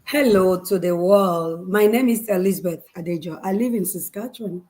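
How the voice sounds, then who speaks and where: A woman from Nigeria speaking as a panelist in an online show, in a measured and formal way. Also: lowish voice, a little mellow. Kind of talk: video call panel talk.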